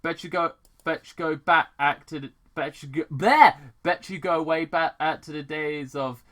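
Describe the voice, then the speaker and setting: Man acting out an arrogant, sharp-voiced mad scientist. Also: on the high side, measured pace, pitched above the performer's own voice. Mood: mocking. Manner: condescending, snappish. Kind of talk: amateur fan fiction read-aloud.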